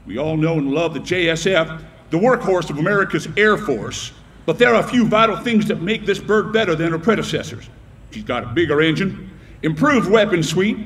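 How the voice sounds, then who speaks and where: An actor playing a brash, tough air force commander with a commanding, profane swagger in an audio drama. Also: male voice, on the high side, expressive, brisk, fairly bright tone. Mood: swaggering, stern. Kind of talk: audio drama military speech.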